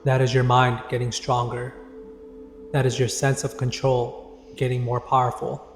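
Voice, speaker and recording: An echoey, reverberant recording of a man guiding a meditation over music. His delivery is calm and deliberate, with little movement in pitch, leaving pauses between instructions. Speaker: meditation guide. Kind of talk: guided meditation narration. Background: background music.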